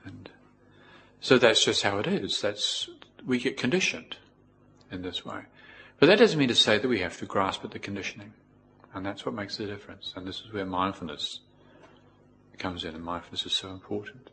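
A middle-aged man with a New Zealand accent giving an informal, reflective Buddhist talk in a measured way, with pauses. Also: on the low side, animated, pushed and bright, slight background noise. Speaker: Buddhist monk, teacher. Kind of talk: informal spoken dharma talk with personal anecdotes.

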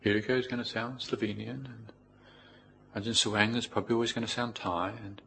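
Casting: New Zealand male, middle-aged monk, low voice, measured informal teaching, some background noise. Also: fairly even intonation, vocal fry, pushed and bright, poor audio. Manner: reflective, measured with pauses. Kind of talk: informal spoken dharma talk with personal anecdotes.

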